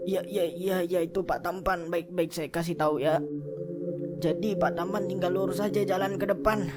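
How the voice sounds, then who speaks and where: Man playing stammering young ghost guard, loud music. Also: sits high, steady tone, normal speed, close to an everyday voice. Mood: cowed, nervous. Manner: slangy, stammering, repeating words. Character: young male ghost guard, swaggering but easily cowed. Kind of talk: comic amateur cartoon voice acting.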